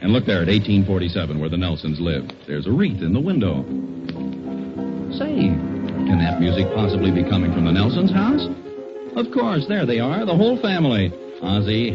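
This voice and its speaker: A man announcing in a scripted, theatrical and chatty 1940s radio style. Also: on the low side. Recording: dull 1940s radio, loud music. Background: loud music.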